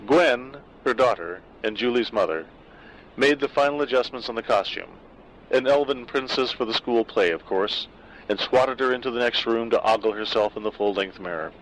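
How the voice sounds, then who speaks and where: Male horror narrator, mid pitch, bright tone, measured and wry. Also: slight background noise, low-quality recording. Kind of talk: scripted story narration.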